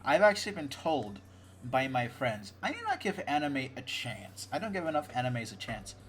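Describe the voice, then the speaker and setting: A man's voice: mid-range voice, wildly swooping pitch, normal speed, a little hiss or hum. A man hosting a casual podcast chat, talkative, laughing often and stumbling over restarts. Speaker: podcast host.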